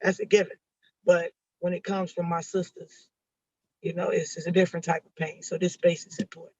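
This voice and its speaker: A woman's voice: lowish voice, little pitch movement, fairly quick, darkish tone, no room sound. A woman speaks earnestly on a panel, circling back over her words and leaning on "you know." Her voice holds to a narrow, level line. The call audio comes through dull and lo-fi, with no room sound around it. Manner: earnest, repeats phrases, many "you know" fillers. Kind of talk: personal testimony on a panel.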